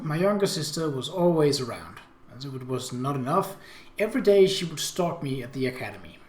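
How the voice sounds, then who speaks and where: Higher-pitched, animated man in an echoey room. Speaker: let's play host. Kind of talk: reading a visual novel aloud with casual commentary.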